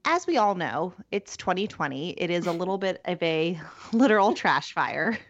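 Casting note: woman co-host, somewhat low voice, gushing and upbeat, dull lo-fi sound. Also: big pitch swings, moderate pace. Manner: enthusiastic, effusive. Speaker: podcast co-host. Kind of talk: casual podcast chat.

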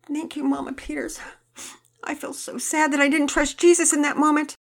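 A woman voicing a remorseful young girl who stammers and speaks haltingly in a children's storybook reading. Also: on the high side, animated, pitched above the performer's own voice. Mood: halting, tearful.

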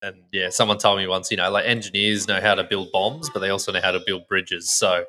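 A man hosting a podcast, asking questions in a relaxed, chatty way with plenty of fillers. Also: sits low, fairly quick. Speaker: podcast host. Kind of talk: casual podcast interview.

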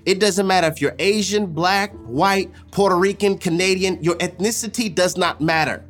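A preacher with a mid-pitched voice delivers an impassioned sermon. The delivery is emphatic and repetitive, moving between forceful exhortation and hushed, deliberate lines, with music underneath.

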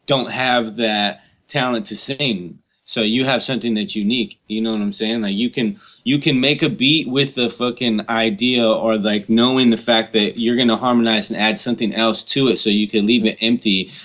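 A man's voice: fairly low pitch, fairly bright tone. A man co-hosting a casual interview, talking in loose slang and often checking in with "you know what I'm saying.". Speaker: podcast co-host.

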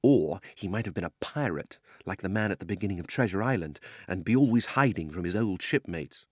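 A man acts out a young British schoolboy from a storybook. He is indignant and defiant, pushing his words out with wounded emphasis. His pitch moves a great deal, and the sound is close and dry. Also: expressive, fairly quick, breathy edge, no room sound. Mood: secretive, gloomy.

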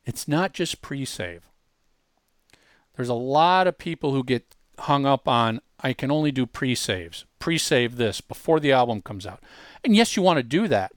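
A man presenting a software demonstration in a conversational, instructive and emphatic tutorial style. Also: medium pitch, no echo, studio quality. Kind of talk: informal tutorial walkthrough.

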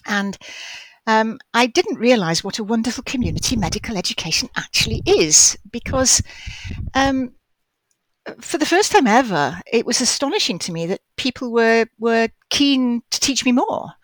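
A woman's voice: fairly high pitch, lively intonation, normal speed, no echo, rough recording. An older woman from Wales, a guest in a podcast interview, chatty and self-deprecating, often stammering and restarting her phrases. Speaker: guest, medical educator.

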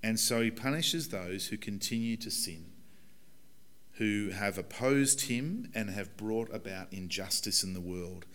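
Man, croaky hoarse voice, lowish pitch, earnest chatty sermon. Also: a little slow, a bit bright. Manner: conversational, earnest preaching with fillers. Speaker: pastor. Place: church hall.

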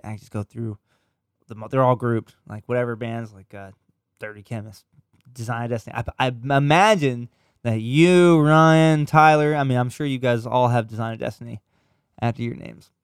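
A man's voice: mid-range voice, clear, resonant tone, no room sound, studio quality. A young man chatting casually on a podcast, telling loose stories, laughing easily and swearing a lot. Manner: loose, laughing storyteller who swears often. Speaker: podcast co-host.

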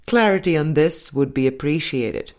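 A woman reading a spiritual book aloud as an audiobook narrator, in measured, deliberate phrases with pauses. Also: low voice, a little mellow. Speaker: audiobook reader.

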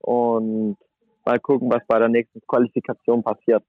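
A man's voice: mid-range voice, moderate pace, no room sound. A man in his twenties, a handball player, talking casually in an interview with many fillers. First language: German. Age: 20s. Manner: relaxed, chatty, many fillers like "sag ich mal". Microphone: phone. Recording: tinny phone line.

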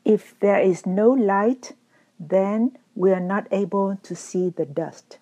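A woman teacher giving a calm, measured dharma talk, her voice still recovering after being lost. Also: medium pitch. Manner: calm, measured, gently explanatory. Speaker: Buddhist teacher. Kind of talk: slow reflective dharma talk.

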